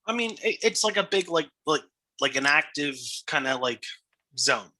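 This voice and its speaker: A man in his thirties with an American accent and a high, extremely bright, forceful voice. He co-hosts a podcast with casual banter, needling his partner and swearing freely. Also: expressive, normal speed, no room sound. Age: 30s. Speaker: podcast co-host.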